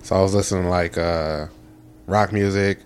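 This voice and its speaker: A man in his early thirties with a fairly low voice talks in a loose, comedic chat style. He tells stories with animated self-deprecation. There is noticeable background noise on the recording. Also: moderate pace.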